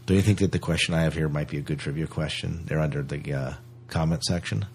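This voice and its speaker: A man's voice: rumbling low, steady tone, quick pace, a little mellow, slight background noise. A man co-hosting a podcast, chatting casually about news in a hesitant, rambling, conversational way. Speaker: podcast co-host.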